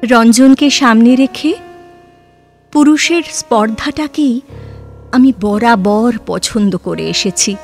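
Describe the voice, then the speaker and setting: A woman playing a dreamy, playful, free-spirited young heroine in a literary audio drama, lyrical and full of dramatic pauses. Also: on the high side, darkish tone, soft background music. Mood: assured, musing. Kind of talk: Bengali audio drama dialogue.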